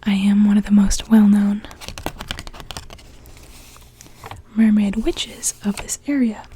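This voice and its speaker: A young woman playing a kindly mermaid witch in a whispered ASMR roleplay, gently attending to a customer as she brews potions. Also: medium pitch, fairly even intonation, normal speed, slight background noise, close to an everyday voice. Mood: helpful, reassuring. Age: young adult.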